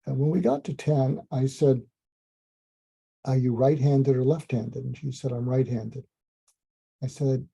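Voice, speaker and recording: Gravelly, dark-voiced man telling a story. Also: medium pitch. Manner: slow, soothing, hypnotic delivery with many ums. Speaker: psychiatrist telling a clinical story. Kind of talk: calm storytelling monologue. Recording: dull lo-fi sound.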